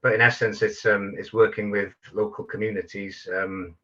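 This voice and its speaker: A man's voice: lowish voice, flat delivery, moderate pace, pushed and bright, muffled recording. A man from North Wales with a Welsh accent, giving an online talk with many hesitations and restarts. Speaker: guest speaker, project manager.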